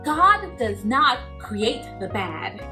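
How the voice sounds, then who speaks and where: A woman hosting a children's Bible lesson, cheerful and animated, laughing often as she talks to kids. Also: a little high, drawn-out words, soft background music. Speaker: children's story host.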